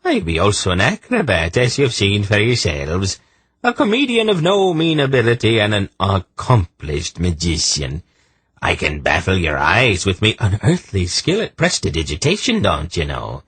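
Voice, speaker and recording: A man's voice: on the low side, sing-song, moderate pace, fairly bright tone, breathy edge, no room sound, full character voice. A man voicing a gaudy little male juggler who speaks in a lilting brogue, flowery and ingratiating. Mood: wheedling.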